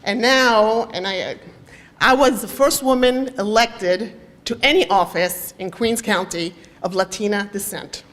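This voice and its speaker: A woman's voice: mid-range voice, measured pace, slight background noise. A Dominican-born woman in her fifties giving an off-the-cuff, rambling ceremony speech, slipping in Spanish now and then. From Dominican Republic. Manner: warm, rambling, mixes in Spanish. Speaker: judge and honoree speaking at a ceremony.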